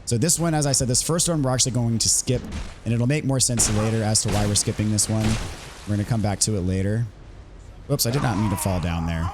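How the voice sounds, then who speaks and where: Hoarse man calmly guiding a game. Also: lowish voice, quick pace, background noise. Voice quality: recovering from voice loss. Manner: relaxed, explanatory, with frequent ums and restarts. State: hoarse, recovering voice. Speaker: game walkthrough host. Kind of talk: casual gameplay commentary.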